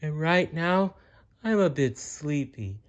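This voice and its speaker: A man voicing a sleepy, gentle bedtime teddy bear from a storybook, with drawn-out, sighing, drowsy speech. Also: a little high, expressive, dull audio, pitched above the performer's own voice. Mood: drowsy, sweet.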